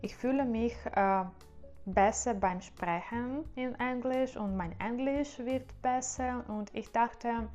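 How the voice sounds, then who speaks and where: A woman's voice: on the high side, takes it slowly, darkish tone, faint music behind. A young woman whose native languages are Russian and Ukrainian, speaking with an accent in a casual vlog, careful and a little hesitant with fillers. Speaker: vlogger.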